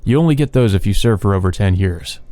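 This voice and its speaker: A man's voice: fairly low pitch, lively intonation, quick pace, mellow, natural voice. A man voicing an earnest, reserved young man in a visual novel, plain and direct.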